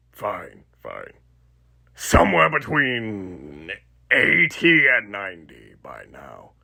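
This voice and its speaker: A man voicing a sadistic, elderly undead killer animatronic in a horror roleplay, raspy and theatrical, with mocking menace and muttered asides. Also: fairly low pitch, sharp tone, heavily acted. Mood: irritated, muttering. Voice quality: raspy, gravelly.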